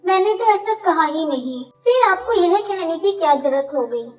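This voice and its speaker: A woman's voice: extremely high pitch, lively intonation, normal speed, recorded from a distance, faint music behind. A woman voicing a respectful, caring young daughter-in-law in a cartoon story, polite and earnest. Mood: protesting.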